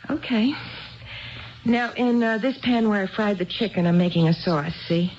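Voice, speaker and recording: A woman's voice: mid-range voice, darkish tone, close to an everyday voice. Neglected housewife in muffled old radio drama, woman acting. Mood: eager, explaining. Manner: chatty, halting, seeking approval. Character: neglected, nervous housewife confessing, bitterly hurt. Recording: muffled vintage radio broadcast recording.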